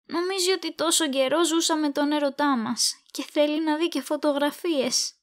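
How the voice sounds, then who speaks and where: A woman acting an anxious, wistful young woman in a Greek audio drama, expressive and conversational. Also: high-pitched, lightly acted. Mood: worried.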